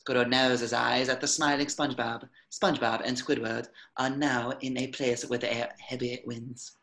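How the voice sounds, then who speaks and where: A man's voice: medium pitch, flat delivery, moderate pace, vocal fry, fairly bright tone. A man reading out stage directions as the narrator of a cartoon script table reading.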